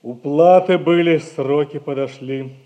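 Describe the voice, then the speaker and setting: An actor playing a sly, obsequious merchant's clerk in a Russian stage comedy, servile yet drily impudent. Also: male voice, on the high side, measured pace, mellow, lightly acted. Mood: matter-of-fact. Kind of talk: 1960s Russian stage comedy acting for audio.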